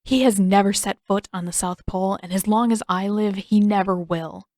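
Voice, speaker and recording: A woman's voice: medium pitch, normal speed, darkish tone, dry recording, lightly acted. Woman acting out an icy, threatening protective mother. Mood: cold, menacing. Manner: icy, clipped, hissing threats. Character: hostile, fiercely protective mother, cold and menacing. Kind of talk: audiobook character acting.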